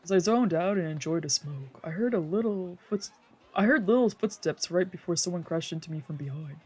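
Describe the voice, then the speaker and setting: Husky streamer narrating a visual novel. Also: mid-range voice, expressive, a little fast, darkish tone. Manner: dramatic reading with casual asides. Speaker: streamer reading a game aloud. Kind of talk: let's play narration with improvised character voices. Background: faint game music.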